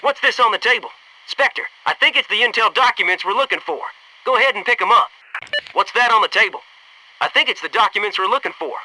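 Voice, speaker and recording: An American actor playing a brash, upbeat, teasing soldier in a military video game, with punchy, cocky, informal delivery. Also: male voice, high-pitched, animated, fairly quick, sharp tone, recorded from a distance, a little hiss or hum, exaggerated voice, rough recording. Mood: instructive, casual.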